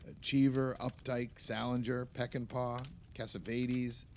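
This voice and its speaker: A man's voice: medium pitch, flat delivery, unhurried, a little mellow, a little hiss or hum, lightly acted. An actor playing a fretful, self-doubting older male novelist in a naturalistic audio play, hesitant and prone to rambling complaint. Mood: impassioned, bitter.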